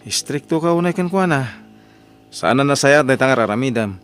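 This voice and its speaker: A man with an Ilocano Filipino accent playing an exasperated, defiant husband in an audio drama, emphatic and expressive. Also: mid-range voice, a little fast, faint music behind, close to an everyday voice. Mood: indignant, admonishing. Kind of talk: radio-style audio drama acting.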